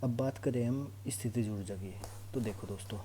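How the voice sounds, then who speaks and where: A man's voice: medium pitch, normal speed, mellow. A man teaching an informal lesson, explaining in an instructional way and often checking that students follow. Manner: instructional, repeatedly checks understanding. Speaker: physics teacher for exam students. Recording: clear background noise with hum.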